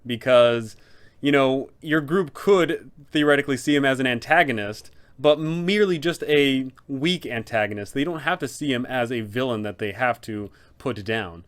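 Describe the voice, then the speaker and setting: A man's voice: mid-range voice, moderate pace, a bit bright. A man hosting a scripted explainer, narrating as a game master giving advice.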